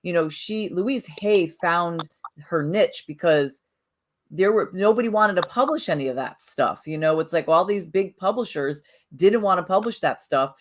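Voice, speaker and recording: A woman with a notably low voice hosts a relaxed spiritual conversation. She wanders through her thoughts, restarting sentences and tossing in "you know" often. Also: moderate pace, a little hiss or hum. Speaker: podcast co-host. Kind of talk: casual spiritual chat.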